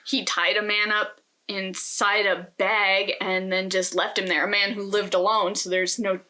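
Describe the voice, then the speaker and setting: A woman's voice: mid-range voice, sharp tone. A woman co-hosting a casual, conversational discussion about books. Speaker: podcast co-host.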